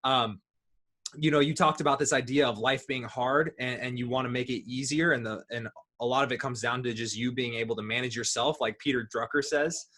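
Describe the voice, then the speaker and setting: A man of thirty hosting a casual podcast talk, relaxed and rambling, with many ums and likes. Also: medium pitch, brisk. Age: 30s. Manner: relaxed, rambling, filler-heavy. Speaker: podcast host.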